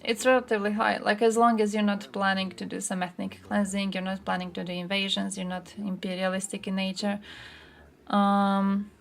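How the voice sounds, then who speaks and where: Creaky-voiced woman streaming casually. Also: mid-range voice, level tone, normal speed. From Croatia. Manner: sweary, informal. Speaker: livestream host. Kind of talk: casual livestream chat, reacting to chat and posts.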